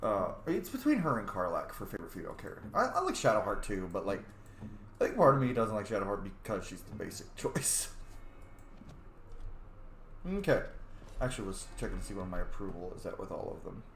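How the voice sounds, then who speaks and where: A man chatting casually about video games while playing, in an animated, talkative way. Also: fairly low pitch, brisk, roomy sound, a little hiss or hum. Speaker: player and commentator. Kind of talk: casual game commentary.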